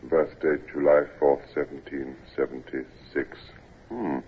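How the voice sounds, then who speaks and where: An actor playing a gloomy, stern but not unkind old ship's captain, in an old radio drama. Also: male voice, extremely low pitch, steady tone, slightly rough, heavily acted. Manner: slow, dry, morose. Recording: tinny old radio broadcast.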